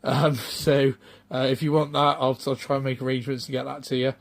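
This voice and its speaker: A middle-aged man, chatting off the cuff in a rambling, halting way full of ums and restarts. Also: mid-range voice, little pitch movement, creaky. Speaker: retro game collector vlogger. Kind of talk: casual off-the-cuff vlog chat.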